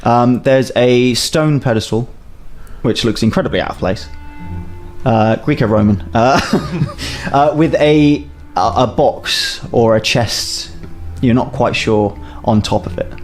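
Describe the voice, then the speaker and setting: A man narrating a tabletop game as a first-time game master, pausing often with uhs and restarts. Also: mid-range voice, soft background music. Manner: hesitant, lots of uhs and restarts.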